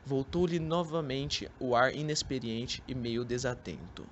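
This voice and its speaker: Young brazilian guy narrating a radio play, medium pitch, slightly noisy amateur recording. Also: a little slow, lo-fi sound. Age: young adult. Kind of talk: scripted literary narration for radio drama. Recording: amateur recording with background noise.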